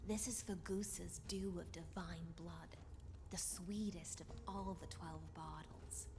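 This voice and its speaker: An actress playing a poised, teasing siren noblewoman in a fantasy game, speaking in a measured, formal and theatrical way. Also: fairly low pitch, steady tone, noisy background. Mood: silky, poised. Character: poised, teasing siren noblewoman, loyal secret blade of an emperor. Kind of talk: scripted fantasy game voice acting. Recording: game music loud behind.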